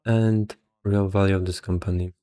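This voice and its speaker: A man's voice: low voice, little pitch movement, normal speed, dark tone, no room sound. A man who sounds a little tired, casually thinking aloud as an investor working through valuations, hesitant and half muttering to himself. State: somewhat tired. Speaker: investor explaining stock valuation.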